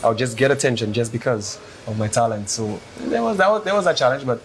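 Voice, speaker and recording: A man in his twenties, a singer and reporter, answering interview questions in a measured, reflective and earnest way. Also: medium pitch, lively intonation. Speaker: guest vocalist and reporter. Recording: noisy background hiss.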